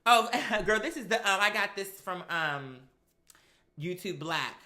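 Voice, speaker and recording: This is a bright, forceful voice with an American accent, belonging to someone hosting a live stream. The delivery is casual, sassy and emphatic storytelling, full of slang and acted-out exchanges. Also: medium pitch, animated, measured pace.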